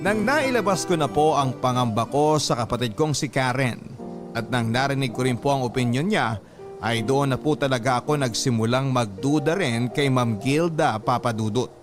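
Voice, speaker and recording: Mid-pitched male radio drama narrator over music. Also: moderate pace. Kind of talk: scripted dramatic narration of a listener's letter. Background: background music.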